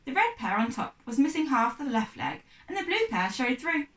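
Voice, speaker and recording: A woman's voice: high voice, sing-song, moderate pace, fairly bright tone. A woman, a teacher, reading a children's novel aloud as narrator. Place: echoey room, away from mic. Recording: echoey room, distant mic.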